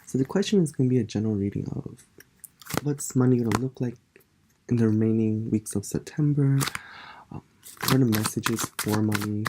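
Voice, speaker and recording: A soft-spoken tarot reader with a stuffy, congested voice drifts from thought to thought in a relaxed card reading. Loud background noise and the clicks of shuffled cards fill the recording. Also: mid-range voice, fairly quick. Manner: soft-spoken, meandering, musing aloud.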